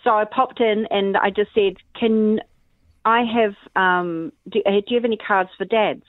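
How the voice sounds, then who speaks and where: A woman's voice: medium pitch, steady tone, moderate pace, dry recording. Woman telling a story over a phone line. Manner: chatty, acts out the dialogue. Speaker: caller. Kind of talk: telling an anecdote.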